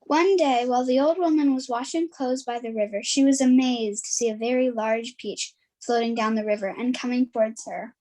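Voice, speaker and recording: A woman's voice: fairly high pitch, steady tone, normal speed, hardly any pauses, dark tone, low-quality recording. A woman reading scripted storytelling narration as the narrator of an amateur play.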